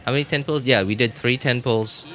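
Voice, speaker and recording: A man chatting casually as he streams a game, rambling and halting as he hunts for words in a self-deprecating way. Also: mid-range voice, noisy background. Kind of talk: casual livestream chat while gaming.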